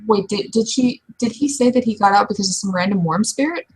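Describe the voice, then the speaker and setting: A woman's voice: medium pitch, brisk, darkish tone, slightly rough, poor audio. A woman in a casual tabletop roleplaying session, speaking conversationally both in character and as game master.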